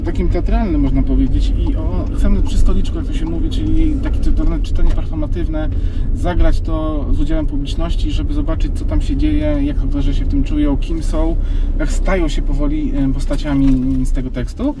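A man in his forties chatting casually as an interview guest, speaking haltingly and often correcting himself. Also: on the high side, distant mic. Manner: hesitant, halting, self-correcting. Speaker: guest, writer and radio producer. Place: inside a car in town. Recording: loud car and street noise.

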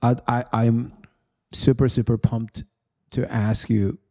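A young man hosting an interview in a small podcast studio, enthusiastic and encouraging toward his guest, on a close boom mic. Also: flat delivery, takes it slowly, mellow. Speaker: podcast host.

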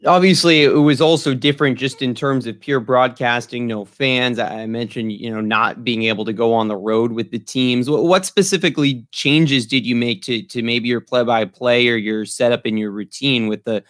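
A man's voice: medium pitch, fairly even intonation, normal speed, a bit bright, no room sound. Young American male interviewer, dry sound. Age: young adult. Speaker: podcast interviewer, host. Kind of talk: conversational interview questioning. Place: small room at home, indoors.

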